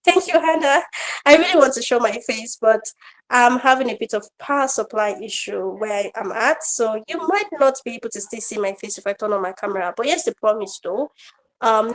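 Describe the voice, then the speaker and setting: A woman trainer hosting an online feedback session, talking casually with many fillers, her voice a bit croaky today. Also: on the high side, rough recording. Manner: warm, rambling, with fillers and laughs. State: slightly croaky voice. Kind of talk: casual online class talk. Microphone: online video call.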